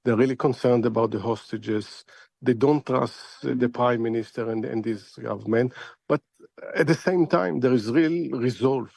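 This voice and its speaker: Guy doing analyst interview, keeps repeating words while thinking, medium pitch, no room echo. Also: creaky, darkish tone. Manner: halting, repeats words as he searches for phrasing. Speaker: foreign affairs analyst, interview guest. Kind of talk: live interview commentary.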